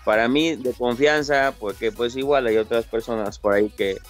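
A man's voice: mid-range voice, moderate pace, soft background music. A young man with a Mexican Spanish accent, answering interview questions casually and repeating his words as he talks. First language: Italian-born, raised in Mexico. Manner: friendly, repeats words, frequent fillers. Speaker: interview guest, top game player.

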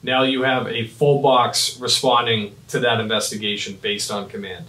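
A man in his thirties giving a step-by-step software demonstration in short, clipped instructional phrases. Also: medium pitch, little pitch movement, normal speed. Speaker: fire dispatch trainer. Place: small indoor office at a computer desk. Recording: roomy, echoing, mic at a distance.

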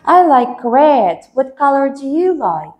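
A woman's voice: high voice, sing-song, slow, a little mellow, roomy sound. A woman in her thirties teaching English to a small child one-on-one, patiently sounding out words letter by letter in a small classroom, with a clip-on mic. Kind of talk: one-on-one phonics lesson with a young child. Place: small classroom with a world map on the wall.

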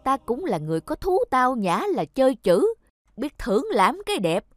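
A woman's voice: a little high, very animated, normal speed, no echo. A woman voicing a vain, boastful, short-tempered rich old man in a cartoon, scolding and bragging. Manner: boastful, scolding, bursts into shouting. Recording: music behind the voice.

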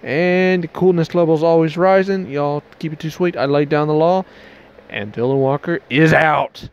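A man's voice: a little high, moderate pace, clean tone, dull audio. A young man with a headset mic, rambling casually as he wraps up a game stream in a small bedroom. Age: young adult. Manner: rambling, loose restarts, Southern y'all. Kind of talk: casual stream outro to camera.

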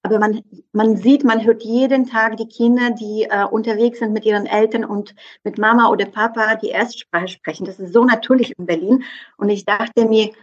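Zögernde Frau mit polnischem Akzent, dumpfer Klang. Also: female voice, fairly high pitch, steady tone, normal speed, lo-fi sound. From southeastern Poland. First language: Polish. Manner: hesitant, many fillers. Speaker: guest. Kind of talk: conversational interview.

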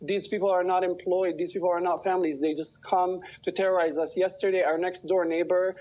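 A man in his twenties from Palestine speaks on a slightly dull video-call line. His voice is high for a man, and he talks in an articulate, emphatic way as an interview guest. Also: flat delivery, fairly quick, a little mellow. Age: 20s. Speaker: news interview guest, writer and poet. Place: small room at home, indoors.

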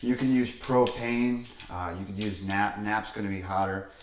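A man's voice: on the low side, normal speed, noisy background. A man in his thirties, a plumber, casually talking through a hands-on demonstration at a workbench, plain and step by step with fillers. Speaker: plumbing instructor. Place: indoor workshop bench.

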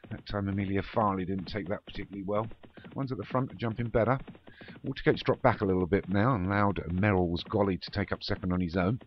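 A man's voice: low-pitched, fast. A man giving running commentary on a horse race in an online game league, informal, with muttered asides and self-corrections. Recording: dull, noisy home recording with clicks.

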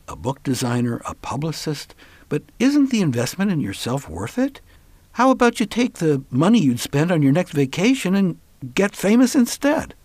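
Guy radio host, super animated pitch, dry studio sound, chatty podcast talk. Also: breathy edge, no echo. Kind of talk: podcast chat and promotional talk.

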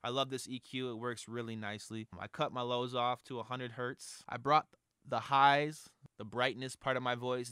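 A man's voice: mid-range voice, fairly bright tone, clear, resonant tone, no room sound. A young man in a home studio, giving a chatty, upbeat tutorial on mixing vocals, close to a studio microphone. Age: young adult. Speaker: music producer teaching vocal mixing. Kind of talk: casual tutorial. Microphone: close studio mic on arm.

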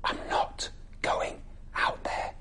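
Frightened little boy in hiding, English accent, storybook, played by a man. Hushed, secretive, slipping into whispers. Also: slow pace.

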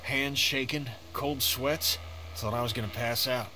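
Stressed dad in a zombie game, blunt n worn down, normal pitch, noisy background. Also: male voice, fairly even intonation, unhurried, bright, forceful tone. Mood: frustrated, desperate. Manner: blunt, weary, insistent. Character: stressed, blunt father, desperate hungry survivor. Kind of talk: video game voice acting.

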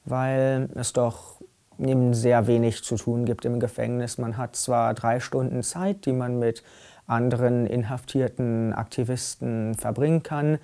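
A man's voice: mid-range voice, creaky, warm tone. A young man of eighteen answering interview questions in a plain, matter-of-fact way. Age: young adult. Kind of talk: recorded interview. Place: dim indoor set.

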